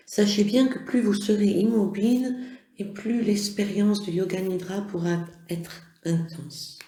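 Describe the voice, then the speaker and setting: A yoga nidra instructor leads a guided relaxation at a very unhurried pace. The voice is soft-edged and mellow, with gentle, measured phrasing and pauses. It sits in a roomy, echoing sound. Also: mid-range voice, fairly even intonation. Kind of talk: slow guided relaxation meditation.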